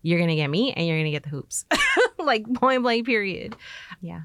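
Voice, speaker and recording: A woman's voice: medium pitch, very animated, normal speed, a bit bright, no room sound. A woman talking casually as an artist being interviewed, telling things informally with quoted asides.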